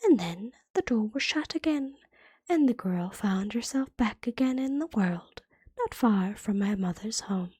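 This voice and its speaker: A woman reading a fairytale as ASMR in a soft, breathy whisper, gently and carefully, like a bedtime storybook. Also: mid-range voice, animated, measured pace, no echo.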